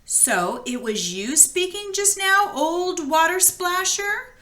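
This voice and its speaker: Woman as a dramatic young princess, swooping pitch. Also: lively intonation, slow, sharp tone, full character voice. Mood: tearful indignation. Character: young spoiled princess, dramatic, quick to promise.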